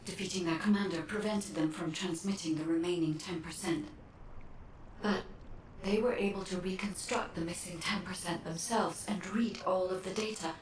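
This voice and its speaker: A solemn, analytical guide from a game, acted by a woman, speaks formally and precisely. Her slightly low voice echoes from a distance over faint noise. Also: fairly even intonation, moderate pace, close to an everyday voice. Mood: solemn concern. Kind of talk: video game voice acting.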